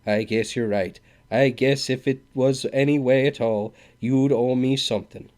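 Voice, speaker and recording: A man's voice: medium pitch, fairly even intonation, unhurried, a little mellow, pitched above the performer's own voice, natural voice. A man voicing a swaggering, insolent male traveler who jeers and mocks. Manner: insolent, mocking.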